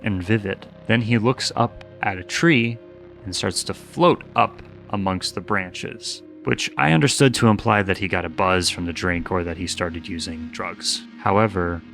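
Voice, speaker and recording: Male video essayist, low forceful voice, informal and opinionated commentary with background music. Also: a little slow, creaky. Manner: informal, opinionated, mild swearing. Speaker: host. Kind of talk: video essay commentary with scripted story recap and casual asides. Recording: music under the voice.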